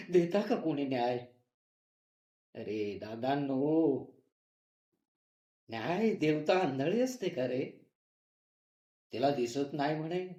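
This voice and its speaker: Guy acting an impassioned anguished narrator, slow dramatic monologue, repeats for effect, echoey room. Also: high-pitched. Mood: anguished pleading. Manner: declamatory, repetitive, impassioned. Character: anguished male narrator crying out for justice. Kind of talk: theatrical monologue in a play reading.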